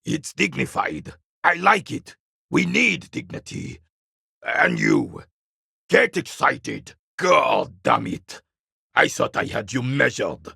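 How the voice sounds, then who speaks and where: Casting: scornful old soldier for a game, heavy fry, rasping, wildly animated delivery. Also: male voice, medium pitch, slow pace, no room sound, clean studio sound, full character voice. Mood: indignant, forceful. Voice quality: gravelly, raspy. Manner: gruff, scornful, theatrical, barking at younger men. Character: bitter, proud old royalist war veteran. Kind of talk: video game character dialogue.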